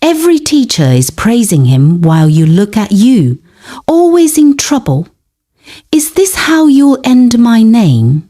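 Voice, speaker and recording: A woman's voice: fairly high pitch, very animated, measured pace, voice pushed up. A woman storyteller voicing a strict, jealous male school hostel master who scolds and accuses. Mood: bitter scolding. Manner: sharp-tongued, scolding, accusing.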